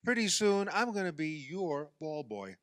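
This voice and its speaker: A man acts out a self-pitying amateur athlete in a radio drama. His delivery is sarcastic and exasperated, halting and then swinging widely in pitch. The sound is dry, with little room around it. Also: big pitch swings, a bit bright, no echo. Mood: exasperated, sulky. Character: self-pitying, sarcastic male amateur basketball player, sore loser. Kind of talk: radio play dialogue.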